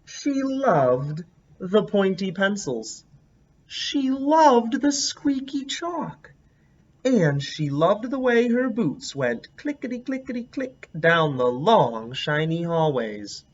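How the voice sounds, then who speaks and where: A man reading a picture book aloud as a teacher, a lively storyteller who stops to comment on the pictures. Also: high voice, slow, highs cut off.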